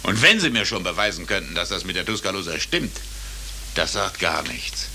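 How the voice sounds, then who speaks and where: An actor playing an officious, condescending, brusque consul in a 1940s German radio drama. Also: male voice, sits low, sing-song, moderate pace, vocal fry, sharp tone, full character voice. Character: officious, dismissive American consul, condescending and evasive. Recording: hissy old radio drama recording.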